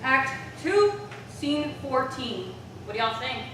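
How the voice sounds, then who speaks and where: A woman's voice: sits high, big pitch swings, slow pace, slight background noise. A young female performer reading her part aloud in a live community theater piece. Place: indoor live theater event. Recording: very echoey, distant, loud crowd.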